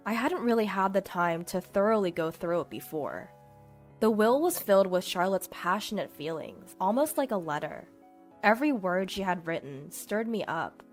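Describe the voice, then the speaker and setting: A woman's voice: medium pitch, animated, moderate pace, soft background music. A woman reading a scripted first-person story as its narrator.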